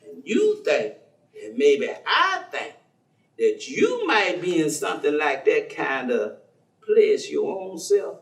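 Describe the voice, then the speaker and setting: Folksy, animated preacher played by an actor, high-pitched, echoey. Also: male voice, creaky, full character voice. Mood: wry, reflective. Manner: folksy vernacular, theatrical, drawn-out exclamations. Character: folksy, devout ex-drinker preacher, playful and persistent. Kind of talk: staged play reading, colloquial storytelling. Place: theatre stage. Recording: echoey stage, distant microphone.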